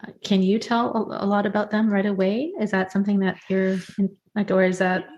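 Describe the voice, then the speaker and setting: A woman's voice: medium pitch, flat delivery, fairly quick, a little mellow, muffled recording. A woman hosting an interview, asking questions with frequent encouraging interjections. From Canada, of Indian heritage. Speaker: podcast host. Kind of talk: conversational interview.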